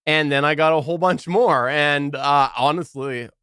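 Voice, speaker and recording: A man co-hosting a casual podcast, talking with loud, uneven energy that swings from near-mumbles to sudden bursts. His voice sits fairly high and smooth. The sound is close and dry. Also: normal speed, dry recording. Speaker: podcast co-host.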